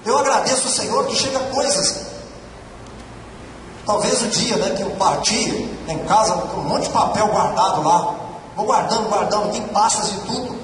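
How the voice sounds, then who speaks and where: A man's voice: high voice, normal speed. A man, preaching an earnest sermon and repeating his key phrases to exhort the congregation. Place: large church hall. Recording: echoey church hall from far off, noisy.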